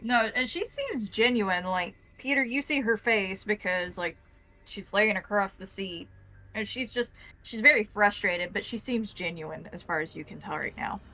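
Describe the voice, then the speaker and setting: A woman's voice: mid-range voice, lively intonation, normal speed, bright, forceful tone. A woman acting as game master, narrating a tabletop roleplaying scene in an improvised, conversational way.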